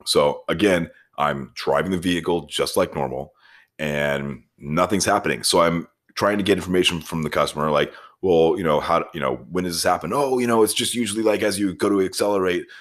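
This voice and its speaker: A man's voice: low-pitched, brisk, fairly bright tone. A man chatting casually as a podcast host, telling rambling anecdotes and acting out both sides of conversations. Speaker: podcast host, shop foreman and transmission technician. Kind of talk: casual chat, storytelling.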